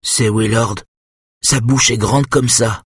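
A man's voice: mid-range voice, fairly even intonation, slow pace, slightly rough, no room sound, pitched above the performer's own voice. A man voicing a big childlike young man, anxious and innocent, who talks in a simple, eager way close to tears. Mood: worried.

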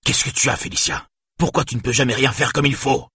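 A man's voice: low-pitched, moderate pace, breathy edge, dry recording, studio quality, heavily acted. A man voicing a harsh, exasperated father who scolds his young daughter in a stern, angry voice for an audiobook. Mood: furious yelling. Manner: stern, shouting when angered.

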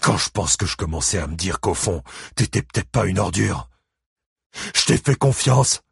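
A man's voice: deep voice, animated, normal speed, gravelly, breathy edge, no room sound, clean studio sound, full character voice. A man voicing a furious, bitter, vengeful father who spits out harsh accusations in an audiobook novel. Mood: bitter anger.

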